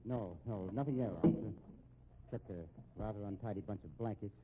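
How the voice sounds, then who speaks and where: A man's voice: lowish voice, fairly quick, natural voice. An actor playing a brusque, tough-talking man with a curt delivery in a 1950s radio crime drama. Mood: brisk. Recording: tinny, hissy 1950s radio recording.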